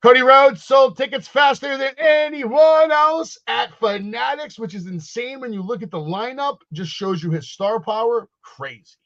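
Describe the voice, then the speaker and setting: A man in his forties co-hosting a casual wrestling podcast, ranting loudly and slipping into mock wrestler catchphrases. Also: very high-pitched, normal speed, few pauses, muffled recording. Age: 40s. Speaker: podcast co-host.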